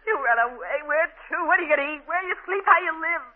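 An actress playing an anxious, protective young working-class big sister in a 1940s radio drama, pleading and urgent. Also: female voice, extremely high pitch, expressive, fast talker. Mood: scolding, distraught. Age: young adult. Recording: tinny, band-limited 1940s radio recording.

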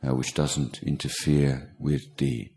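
A man teaching guided meditation, speaking in a halting, measured way with pauses between short phrases. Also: extremely low pitch, little pitch movement, warm tone. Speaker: meditation teacher, Buddhist monk. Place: meditation retreat talk in Thailand.

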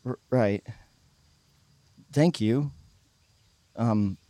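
A man's voice: medium pitch, drawn-out words, dark tone. An actor playing a troubled, guilt-ridden young churchgoing man, speaking hesitantly and stammering in a naturalistic audio drama. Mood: nervous, hesitant.

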